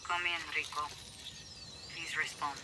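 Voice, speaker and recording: An earnest rebel ally. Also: female voice, sits low, little pitch movement, measured pace, background noise. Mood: worried. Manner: earnest, urgent, Spanish phrases mixed in. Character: resourceful female rebel ally. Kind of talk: video game cutscene acting.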